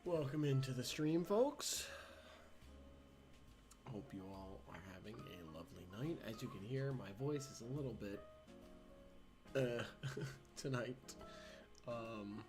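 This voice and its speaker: A man hosting a casual livestream, hoarse and raspy from a recent cold, talking hesitantly with many ums and stopping to clear his throat. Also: medium pitch, animated, slow pace, pauses often, a little mellow, soft background music. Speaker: livestream host.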